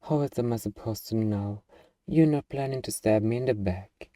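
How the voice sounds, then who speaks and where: A very dark, muffled voice in a dry space, a little way off the mic, plays as an acted role a hardened zombie apocalypse survivor. The delivery is sarcastic and swaggering, with a casual, defiant edge suited to an ASMR audio drama. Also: medium pitch, moderate pace, dry recording, distant mic, natural voice. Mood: firm, commanding.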